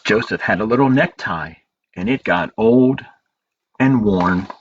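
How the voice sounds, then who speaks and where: A man hosting a storytime as a librarian, reading picture books aloud in measured phrases with pauses and informal asides. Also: fairly low pitch. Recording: dull lo-fi audio with clear background noise.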